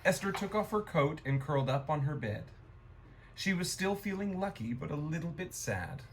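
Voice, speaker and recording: A man reading a rhyming children's story aloud in a gentle, expressive storytime manner. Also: fairly high pitch, normal speed, slight background noise, rough recording.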